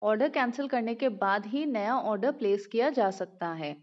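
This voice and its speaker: A woman hosting a finance channel, giving a steady, step-by-step scripted explanation. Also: on the high side, normal speed. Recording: roomy, slightly dull home recording.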